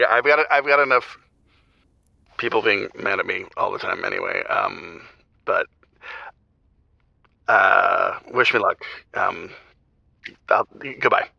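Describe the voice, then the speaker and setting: An actor playing a heartbroken, self-blaming husband calling a radio show in an audio drama, halting and confessional. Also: male voice, on the low side, creaky, dry recording, full character voice. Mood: subdued. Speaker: radio call-in show caller. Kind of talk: naturalistic audio drama acting. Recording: dull, band-limited phone-call sound.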